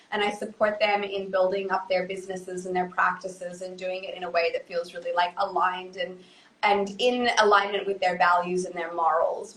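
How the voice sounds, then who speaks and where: A woman in her thirties, who grew up in Indonesia, speaks as a guest psychotherapist on a live video call, thoughtful, with many fillers and soft laughs. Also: mid-range voice. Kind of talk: casual live video chat. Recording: roomy echo.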